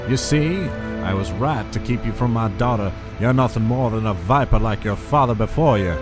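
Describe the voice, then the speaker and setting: A man's voice: on the low side, expressive, moderate pace, music in the background, close to an everyday voice. Man as an irate older tycoon. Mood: contemptuous. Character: irate, domineering older Southern oil tycoon. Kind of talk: audio drama acting.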